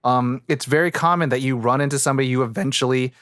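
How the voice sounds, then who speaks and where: Smooth-voiced male podcast host, close and dry. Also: mid-range voice, fast, fairly bright tone, no echo. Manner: rambling, hesitant, self-interrupting. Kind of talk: casual unscripted podcast introduction and chat.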